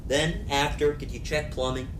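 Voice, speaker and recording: A man's voice: little pitch movement, normal speed, close to an everyday voice. A man playing a firm, weary spaceship commander in a sci-fi comedy audio drama, terse and commanding. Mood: brisk, commanding. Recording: roomy, noisy rumble behind.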